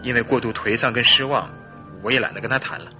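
An actor playing a suspicious, wounded and hot-tempered husband in an old-fashioned radio drama, speaking dramatically. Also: male voice, medium pitch, fast talker, soft background music. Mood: dejected, bitter. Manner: forceful, accusing, dramatic.

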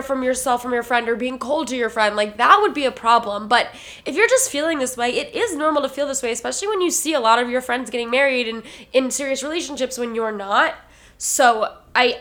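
Stuffy-voiced nasal woman, bright and chatty. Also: high voice. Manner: chatty, laughs often. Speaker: podcast co-host. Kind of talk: casual chat. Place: lives in New York City.

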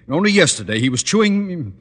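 Blustering, pompous radio school principal. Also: male voice, on the high side, big pitch swings, quick pace. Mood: exasperated. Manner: pompous, sputtering. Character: blustering middle-aged male school principal, pompous and exasperated. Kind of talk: 1950s radio sitcom acting. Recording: vintage radio recording, noisy, reverberant.

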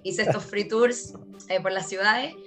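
A woman's voice: medium pitch, fairly even intonation, moderate pace, music in the background. A woman from Chile with a Chilean Spanish accent, chatting as an interview guest and telling her story with many fillers and laughs. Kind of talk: casual storytelling conversation.

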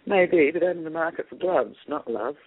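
A man's voice: a little high, animated, breathy edge, pitched above the performer's own voice. A man reading a spirited young farmer's daughter. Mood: teasing. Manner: teasing, quick-witted banter. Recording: tinny phone-like line.

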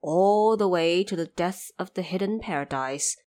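A woman's voice: fairly low pitch, moderate pace, mellow. A woman narrating a classic fantasy story from a script, as a storyteller.

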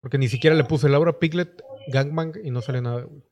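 A man's voice: fairly high pitch, quick pace, darkish tone, dull audio. A man with a Mexican accent hosting a live stream, rambling and joking crudely in slangy banter. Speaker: streamer host.

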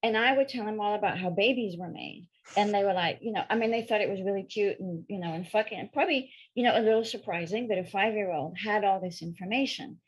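Middle-aged female therapist from France, bilingual, husky, frank storyteller. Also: medium pitch, fast talker, poor audio. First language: French and English, bilingual. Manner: frank, candid, matter-of-fact with hesitations. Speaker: sex therapist guest. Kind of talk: storytelling interview answers.

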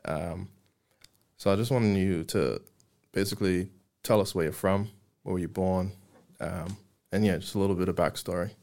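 A man's voice: low-pitched, normal speed, pauses often. Laid-back man hosting a podcast. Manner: relaxed, friendly, swears casually. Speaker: podcast host. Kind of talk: casual interview chat.

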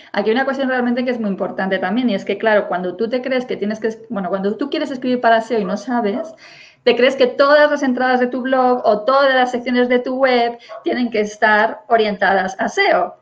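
Woman from spain, english teacher hosting, slightly high voice, lots of fillers, dull lo-fi sound. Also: normal speed. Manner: thinks aloud with fillers, checks in with listeners. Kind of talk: casual interview conversation. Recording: dull lo-fi recording.

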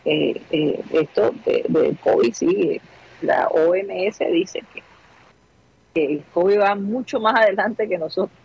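A woman's voice: mid-range voice, unhurried, gravelly, darkish tone, breathy edge, lo-fi sound. A woman co-hosting a casual chat show, talking in a reflective way and often agreeing with the others. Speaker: co-host.

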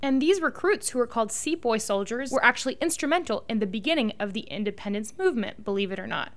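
A woman co-hosting a scripted history podcast, explaining facts in a brisk way. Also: on the high side, expressive. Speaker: podcast co-host.

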